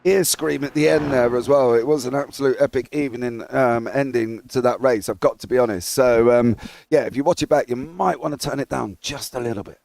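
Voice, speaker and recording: A hoarse English man commentating a sim race with a raspy, husky voice, getting loud and excitable as the action starts. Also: medium pitch, brisk, no room sound. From England. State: hoarse from broadcasting.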